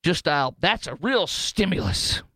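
A man's voice: fairly high pitch, very animated, measured pace, pushed and bright, no room sound, studio quality. A man with an American accent hosting talk radio in an opinionated, jokey, emphatic way, often wandering off on digressions. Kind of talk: unscripted talk radio monologue with live ad reads.

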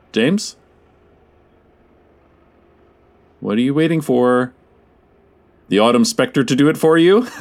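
Slow, theatrical spooky radio host. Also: male voice, fairly high pitch, heavily acted. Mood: chatty, bemused. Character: spooky radio show host, chatty, theatrical, naively unbothered.

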